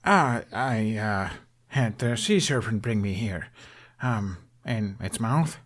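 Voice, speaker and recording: A man's voice: lowish voice, animated, a bit bright, full character voice. A man voicing an awkward, easily embarrassed teenage boy who stammers and halts as he talks. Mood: nervous. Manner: stammering, halting, mumbling.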